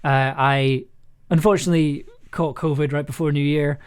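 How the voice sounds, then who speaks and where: A man with a raspy, throaty voice, talking casually and rambling through a livestream while producing an audio drama. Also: a little high, fairly even intonation, moderate pace. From United Kingdom. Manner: rambling, many restarts and fillers. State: raspy, throaty voice after illness. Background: music.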